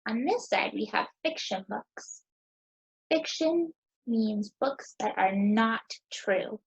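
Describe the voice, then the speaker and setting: High, sing-song American woman teaching children. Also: lively intonation, vocal fry, husky, lo-fi sound. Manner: sing-song, encouraging teacher talk with deliberate pauses. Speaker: teacher hosting a children's story time. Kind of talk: children's lesson with questions to young listeners.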